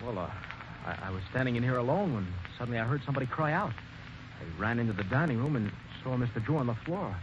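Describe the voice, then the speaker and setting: A man plays a jittery, defensive suspect in an old radio mystery, tripping over his words. His voice is mid-pitched, on a dull, hissy broadcast. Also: animated, fast, natural voice. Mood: nervous. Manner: stammering and jittery. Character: nervous, defensive male former employee. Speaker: actor. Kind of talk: 1940s radio acting.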